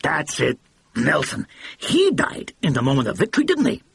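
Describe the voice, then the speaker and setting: Male reader playing a brash, slangy movie boss, high and excitable, husky. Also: moderate pace, no echo, heavily acted. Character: short fat brash cigar-smoking film producer.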